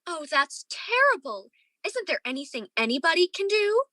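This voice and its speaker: A woman's voice: very high voice, very animated, normal speed, sharp tone, no room sound, exaggerated voice. A woman playing a doting, chatty, homey mother of grown sons in a radio play. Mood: sympathetic.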